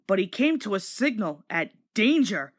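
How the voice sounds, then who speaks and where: A woman narrating a children's storybook aloud in an expressive, scripted read-along style. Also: mid-range voice, normal speed.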